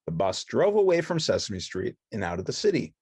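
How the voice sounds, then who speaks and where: A woman's voice: a bass voice, very animated, fairly quick, dark tone, breathy edge, no room sound. A woman narrating a children's read-along storybook.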